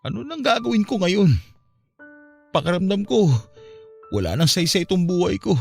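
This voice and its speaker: A man's voice: sits high, very animated, normal speed, vocal fry, faint music behind, exaggerated voice. An actor playing a grief-stricken, guilt-ridden young Filipino man in a radio drama, anguished and despairing. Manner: anguished, despairing, self-blaming.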